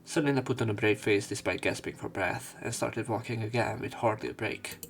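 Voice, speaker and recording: Male let's player: slightly gravelly, mid-pitched, reads story text, breaks into offhand sweary commentary, music behind. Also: brisk. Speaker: let's play host. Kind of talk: reading game text aloud with casual commentary.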